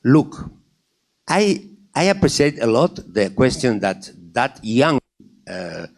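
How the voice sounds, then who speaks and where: An Argentine man with a Spanish accent addresses a forum audience, his mid-range voice swinging widely in pitch. He talks at an unhurried pace, pressing his points with rhetorical questions he answers himself and with words said twice over. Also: sing-song, gravelly. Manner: repeats phrases for emphasis. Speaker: panel speaker at a wine industry forum. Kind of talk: conference panel talk.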